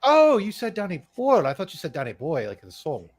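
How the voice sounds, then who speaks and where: Sarcastic high-voiced man, lively swings. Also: fairly quick, slightly rough. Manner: dry sarcastic quips. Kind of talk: casual chat.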